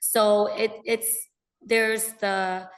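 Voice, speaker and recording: A woman's voice: medium pitch, level tone, very slow. A woman, chatting as a podcast guest. She is upbeat, laughs often and fills gaps with ums. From United States. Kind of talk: casual interview chat.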